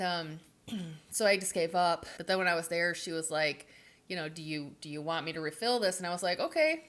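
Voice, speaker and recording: A woman's voice: on the low side, fairly bright tone. A woman giving a rambling, casual vlog update, her voice stuffy from a cold and full of fillers. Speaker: vlogger.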